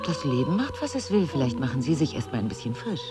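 An actress playing a cool, teasing, evasive wife in an intimate audio drama, speaking with measured, coy irony. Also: female voice, rumbling low, sing-song, over loud music. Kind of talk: intimate two-person audio drama acting.

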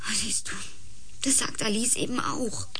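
A woman's voice: on the high side, very animated, moderate pace, sharp tone, slight background noise. An actress in a German radio play as a sensible but uneasy housewife on the telephone, hesitating and repeating words as she reasons. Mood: calm, probing.

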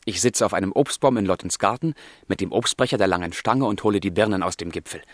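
A man's voice: deep voice, quick pace, no room sound, studio quality. An actor playing a passionate, brooding young man of the 1770s in a literary radio drama, with fervent, declamatory delivery. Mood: wistful, tender. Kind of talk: literary radio drama, dialogue and letter monologue.

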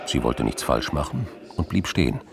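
A man with a gravelly voice narrating a scripted crime drama. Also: very deep voice, big pitch swings, moderate pace, a crowd in the background.

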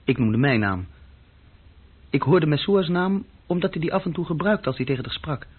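A man's voice: fairly high pitch, expressive, moderate pace, slightly rough. An actor playing an uneasy, insecure young junior clerk who tells his story hesitantly and intimately in a radio play. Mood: reflective. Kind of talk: radio play acting, intimate first-person narration. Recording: dull, muffled old radio recording.

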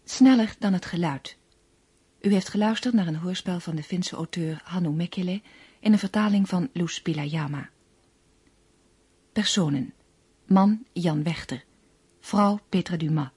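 A woman reading scripted radio drama credits as an announcer, with a husky voice. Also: lowish voice, measured pace, mellow, no room sound.

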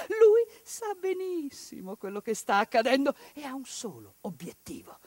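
A woman's voice: fairly high pitch, dry recording. A woman actress performing a theatrical civic monologue, indignant and declamatory, firing rhetorical questions.

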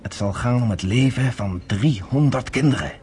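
An actor playing a confident, courteous underground leader in a radio play, speaking in a measured, formal way. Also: male voice, mid-range voice, a little mellow, close to an everyday voice. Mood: calm, scheming. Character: confident, persuasive underground leader, former army captain, courteous.

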